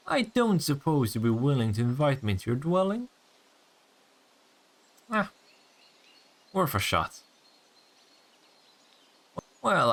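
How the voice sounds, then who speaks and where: Man playing a smug, teasing vampire, swooping intonation. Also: medium pitch. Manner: sardonic, teasing, swearing, drawn-out taunts. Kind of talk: intimate audio roleplay acting.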